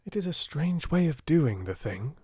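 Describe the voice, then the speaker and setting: Man reading as a curious young English secretary, pitched up. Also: sing-song, moderate pace, a little mellow, slightly rough, dry recording, clean studio sound. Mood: curious surprise. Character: young male secretary, brisk, curious, indignant English gentleman.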